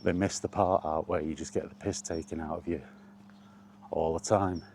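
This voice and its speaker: A man's voice: deep voice, fairly even intonation, normal speed, darkish tone, slight background noise. A British man chatting casually in a wry, colloquial way, like a solo vlogger rambling through his thoughts. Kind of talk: casual rambling chat about gaming.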